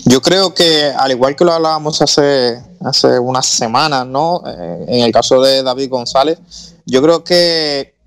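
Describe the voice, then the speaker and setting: A man's voice: medium pitch, measured pace, a bit bright. A man on a sports talk radio show, weighing in with frequent repeats and restarts. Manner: hesitant, repeats words, tags with "¿no?".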